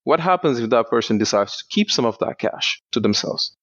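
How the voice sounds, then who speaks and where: A young man from Senegal, a startup founder, explaining his work in a measured way in a podcast interview. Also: mid-range voice, expressive, a bit bright, dry recording. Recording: dull lo-fi dry sound.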